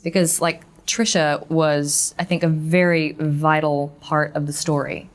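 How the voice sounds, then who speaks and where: A woman's voice: deep voice, little pitch movement, unhurried. A woman voice actor speaking reflectively about a favorite moment.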